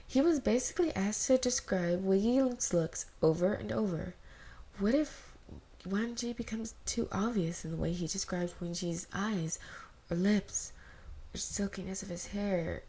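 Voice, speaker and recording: A woman's voice: lowish voice, warm tone. A woman giving a scripted story narration as an amateur fiction reader.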